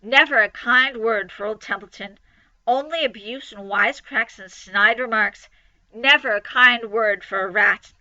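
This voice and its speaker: A woman's voice: on the high side, a little slow, sharp tone, dry recording, dull audio. A woman voicing a grumpy, sarcastic male rat in a storybook reading, mocking and self-pitying. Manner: sneering, mocking, grumbling.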